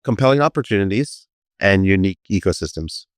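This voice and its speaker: A man's voice: deep voice, animated, a little fast, clear, resonant tone, dry recording, clean studio sound. A man being interviewed as a company co-founder, explaining his firm's mission and his family background thoughtfully. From United States. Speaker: guest, firm co-founder. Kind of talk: interview answers.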